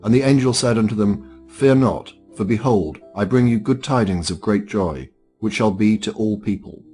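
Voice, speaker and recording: A man's voice: on the low side, normal speed, dark tone, faint music behind. A man reading scripture aloud as formal narration. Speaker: Bible reader.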